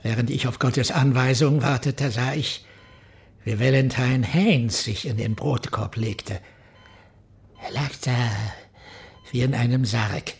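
A gravelly, zealous preacher. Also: male voice, mid-range voice. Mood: hushed zealous. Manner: slow, hushed, zealous conviction. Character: fanatical male preacher confessing murder. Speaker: actor in a radio crime drama. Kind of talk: radio play acting.

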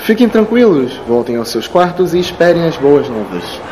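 Man acting a lively, formal academy official. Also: on the high side, normal speed, poor audio. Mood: formal, reassuring. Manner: formal, announcing. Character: formal, skeptical male academy official addressing students. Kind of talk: audio drama acting. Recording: clear background noise and ambience.

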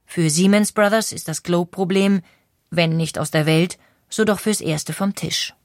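A woman narrating a scripted history documentary with clear, even storytelling and rhetorical questions. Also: lowish voice, normal speed, no echo.

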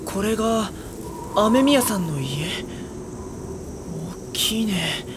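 A woman's voice: mid-range voice, lively intonation, takes it slowly, very noisy. Woman playing a mild, hesitant schoolboy. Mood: uneasy. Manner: polite, hesitant, slightly exasperated. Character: mild, hesitant, polite high school boy. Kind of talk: Japanese audio drama acting.

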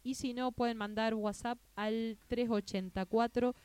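A woman's voice: fairly high pitch, level tone, moderate pace, darkish tone, smooth, no echo. A woman with an Argentine Spanish accent hosting a casual talk show on a radio studio mic, with frequent fillers and restarts. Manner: hesitant, many fillers and restarts. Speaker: radio news show host.

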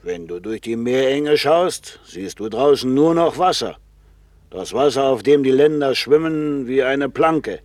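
An actor playing a proud, knowing seafarer in a 1960s German radio play, with a musing storyteller's manner. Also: male voice, mid-range voice, animated, darkish tone, dry recording, close to an everyday voice. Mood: proud, boastful. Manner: slow, musing, storytelling, with swings from boastful to confiding.

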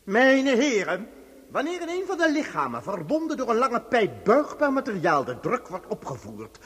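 Erratic officious inspector, wildly swooping voice. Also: male voice, very high-pitched, huge pitch swings, normal speed, a bit bright, breathy edge, dry recording, full character voice. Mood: agitated lecturing. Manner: bullying, lurching from soothing to hectoring. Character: erratic, officious male inspector of lost souls, mad doctor. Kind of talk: absurdist Dutch radio play acting.

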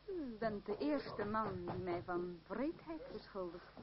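A woman's voice: medium pitch, lively intonation, slow, a crowd in the background. A woman acting a proud, wary young actress in an old radio drama, speaking formally and with theatrical reproach. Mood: haughty, teasing. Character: young actress Climène, proud, wary, won over by love. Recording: dull, noisy old radio play recording.

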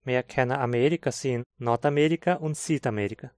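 Male course reader, Hunsrik-accented German, unhurried and clear, dry studio sound. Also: mid-range voice, darkish tone, no room sound. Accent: Brazilian Hunsrik German. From southern Brazil. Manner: slow, clear teaching delivery. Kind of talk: scripted textbook dialogue.

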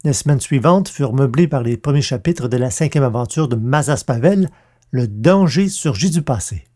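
Guy reading podcast news, soft dark voice, medium pitch. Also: expressive, moderate pace. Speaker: podcast host. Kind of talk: scripted podcast announcements.

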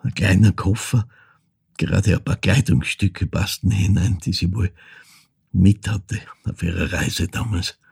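An actor playing an older man who haltingly recalls a troubled childhood in an intimate radio-play monologue, with a husky, gravelly voice. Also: sits low, darkish tone, no room sound, heavily acted. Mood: wistful, subdued. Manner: halting, reflective, repeats words, drops to near whisper.

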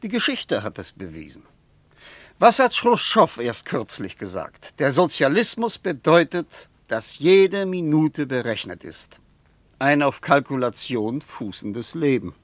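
A pragmatic male debater in a radio play, mid-pitched and very varied in intonation. He is conversational and sardonic, with a matter-of-fact edge, recorded dry. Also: very animated, measured pace, no echo. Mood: dryly sardonic. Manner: conversational, with questioning tags.

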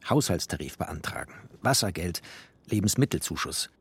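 An actor playing a wry, bookish narrator, a poor postwar settler, in literary first-person narration. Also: male voice, low-pitched, expressive, normal speed, gravelly, a bit bright, husky, full character voice. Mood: wry, matter-of-fact. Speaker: narrator of a literary radio play.

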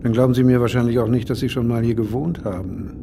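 An actor playing an enigmatic, insistent man in an audio drama, speaking deliberately with formal politeness and calm authority. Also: medium pitch, creaky, a little hiss or hum, close to an everyday voice. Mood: musing, cryptic. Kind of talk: German audio drama acting.

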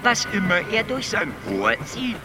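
A male actor playing a calm, detached alien who explains things matter-of-factly in a radio drama, at times stuttering on syllables. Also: high voice, unhurried, heavily acted. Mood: detached, explanatory. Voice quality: stuttering, glitchy repeated syllables. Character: detached, matter-of-fact stammering alien being. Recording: music under the voice. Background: music.

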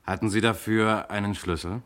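An actor playing a composed police inspector in a German radio crime drama, questioning suspects with pointed insistence. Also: male voice, lowish voice, steady tone, normal speed, a bit bright, lightly acted. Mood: probing, insistent.

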